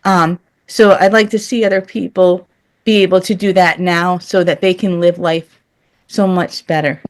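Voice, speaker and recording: A woman's voice: lowish voice, fairly even intonation, normal speed. A woman speaking earnestly and candidly as an interview guest, in a conversational style.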